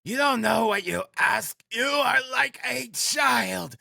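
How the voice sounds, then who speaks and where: A man voicing a frantic, proud, sickly mother in an audiobook, shouting, pleading and scolding in a shrill voice. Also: slow pace, vocal fry, no room sound, clean studio sound, heavily acted. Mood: frantic, defiant. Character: frantic proud consumptive mother, ragged and hysterical.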